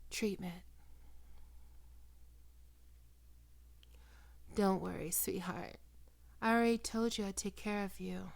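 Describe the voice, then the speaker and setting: A woman voicing a possessive, predatory vampire doctor in an ASMR roleplay, breathy and often whispering, coaxing her prey with condescending, sensual words. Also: medium pitch. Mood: reassuring. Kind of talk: ASMR roleplay, intimate and slow.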